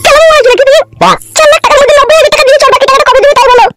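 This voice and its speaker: A man's voice: very high voice, steady tone, very fast, a bit bright, faint music behind. A man voicing a suspicious, nagging married woman in a comedy cartoon. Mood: demanding, indignant. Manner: nagging, indignant questioning.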